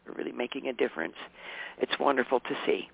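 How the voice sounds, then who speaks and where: A man with a tired, hoarse voice, a regular guest on a casual talk show, chatting with warmth, laughing often and correcting himself as he goes. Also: medium pitch, animated, fast talker. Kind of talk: casual radio show chat. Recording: dry, phone-line sound.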